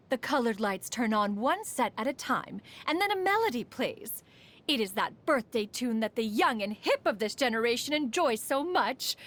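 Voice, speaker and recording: A woman's voice: on the high side, a bit bright. A woman voicing a refined, aloof inventor in a video game, with formal, haughty, old-fashioned diction and a proud but doting temper. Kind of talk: scripted video game character lines.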